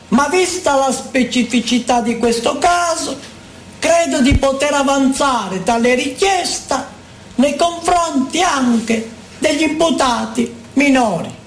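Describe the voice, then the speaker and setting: A woman giving formal, declamatory scripted narration in a dramatic performance, with deliberate phrasing. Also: high-pitched, slight background noise. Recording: echoey room with music behind.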